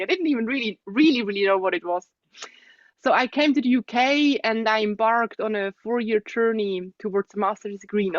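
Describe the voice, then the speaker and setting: A young adult woman with a Swiss accent, a guest speaking thoughtfully in an interview, with soft laughs and hums of agreement. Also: fairly high pitch, dry recording, highs cut off. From Switzerland. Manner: thoughtful, soft-spoken, frequent gentle laughs and hums of assent. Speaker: guest, osteopath. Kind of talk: reflective interview conversation.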